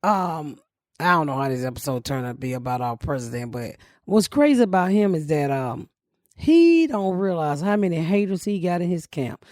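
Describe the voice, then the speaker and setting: Deep-voiced, brash woman host, blunt and profane, dry close studio sound. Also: lively intonation, moderate pace, a little mellow, no room sound. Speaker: comedian host. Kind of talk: casual podcast chat.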